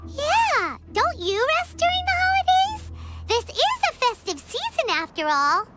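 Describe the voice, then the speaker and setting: A woman voicing a tiny, childlike, curious fairy companion in a video game, chatty and blunt with a squeaky voice. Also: huge pitch swings, measured pace, over loud music, exaggerated voice. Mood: concerned, curious. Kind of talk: scripted video game character dialogue.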